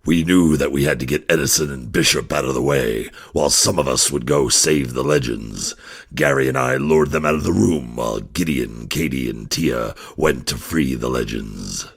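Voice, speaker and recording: Gruff time-traveling outlaw acted by a man, extremely low, husky voice, deadpan audio drama narrator. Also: normal speed, exaggerated voice. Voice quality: gravelly, husky. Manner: laconic, deadpan.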